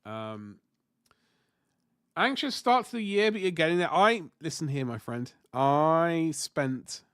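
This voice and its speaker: A man with a stuffy nose and sore throat from flu, chatting casually with viewers while he paints miniatures, rambling and correcting himself. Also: medium pitch. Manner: rambling, self-correcting, mild swearing. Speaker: livestream host painting miniatures. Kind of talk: casual chat answering viewer comments.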